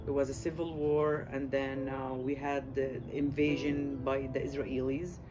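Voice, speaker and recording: A man from Lebanon whose first language is Arabic, telling his story of migrating in a halting way with many fillers. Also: mid-range voice, little pitch movement. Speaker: interviewee. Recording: music playing under the voice.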